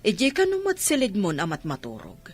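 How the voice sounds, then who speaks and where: A meddling, disapproving relative in a radio drama. Her husky voice rises and falls pointedly in an Ilocano Filipino accent, with faint music behind. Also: medium pitch, wildly swooping pitch, moderate pace. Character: meddling, disapproving female relative warning a woman.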